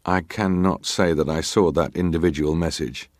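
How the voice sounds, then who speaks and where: Casting: actor for middle-aged male naval officer in hearing drama, deep voice, clipped formal answers, close dry sound. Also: fairly even intonation, normal speed, no echo, natural voice. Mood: guarded, composed. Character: formal ship's officer testifying, guarded and terse. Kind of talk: dramatised reading of hearing testimony.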